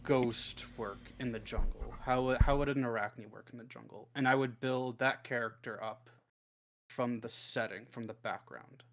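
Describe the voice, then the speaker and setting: A man's voice: mid-range voice, steady tone, moderate pace, fairly bright tone, a little hiss or hum. A man giving casual, unscripted answers as an interview guest, talking freely and swearing often. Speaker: interview guest, audio roleplay script writer.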